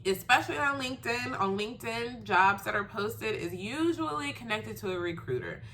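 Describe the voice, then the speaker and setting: A woman's voice: a little high, lively intonation, moderate pace, fairly bright tone, slight background noise. Stuffy, nasal woman with a cold. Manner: chatty, emphatic, numbered tips. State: congested from a cold. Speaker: resume writer giving career tips. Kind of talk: casual how-to advice video.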